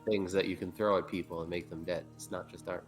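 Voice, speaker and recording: Man at a tabletop game, deep voice, blunt and offhand, casual chat. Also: little pitch movement, fast talker, over music. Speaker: player.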